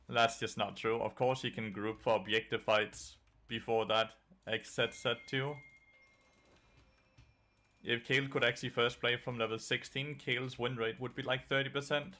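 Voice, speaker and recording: Danish-accented male streamer, relaxed and profane, bright voice. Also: medium pitch, moderate pace. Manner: casual, swears, answers chat between plays. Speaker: game streamer and coach. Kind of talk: casual gameplay commentary.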